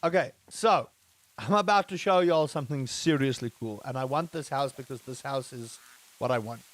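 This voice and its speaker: A man from England live-streaming a game, chatting casually with his viewers and breaking into excited exclamations. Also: medium pitch, moderate pace. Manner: animated, rising to excited exclamations; chatty, addresses chat directly. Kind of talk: live-streamed gameplay chat.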